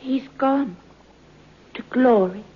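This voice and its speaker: High, husky excitable country woman. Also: takes it slowly, exaggerated voice. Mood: dramatic, mournful. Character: excitable country woman named Nancy bearing grim news. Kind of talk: old radio drama acting. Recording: dull vintage radio recording.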